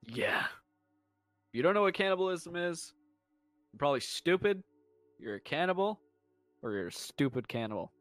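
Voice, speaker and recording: A man's voice: fairly high pitch, big pitch swings, normal speed, long pauses, a bit bright, dry recording, soft background music. A man co-hosting a podcast, joking crudely, swearing freely and laughing often. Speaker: podcast co-host. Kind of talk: casual podcast chat.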